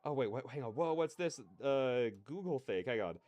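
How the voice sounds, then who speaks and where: A man's voice: mid-range voice, expressive, normal speed, a little mellow, lo-fi sound. A man hosting a livestream, chatting casually in a rambling, slangy, digressive way. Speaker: livestreamer hosting a reaction stream.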